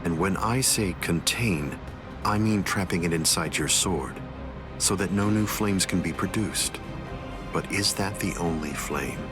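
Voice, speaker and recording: A calm menacing swordsman villain for an anime game, deepish, formal and smug, loud music under it. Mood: cold, lecturing. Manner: measured, condescending, formal. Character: calm, menacing male villain swordsman, coldly superior schemer. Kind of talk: anime video game voice acting.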